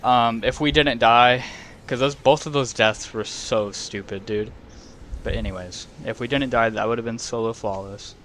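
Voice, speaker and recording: A man's voice: mid-range voice, steady tone, normal speed, a little hiss or hum. A young man giving casual, rambling commentary while playing a game, swearing and saying "dude" often. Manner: casual, swears, says "dude" a lot, talks to himself. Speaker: gaming video creator. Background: loud game music.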